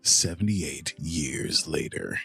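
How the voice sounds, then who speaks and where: A man's voice: very deep voice, very animated, slow pace, gravelly, pushed and bright, husky, soft background music. A man co-hosting a podcast, giving playful, goofy watchalong commentary and laughing often. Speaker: podcast co-host.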